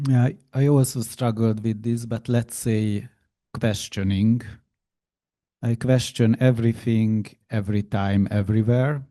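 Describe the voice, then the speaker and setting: A man's voice: lowish voice, steady tone, measured pace, dark tone. A man from Hungary, a tour guide, answering as a panel guest in a reflective way.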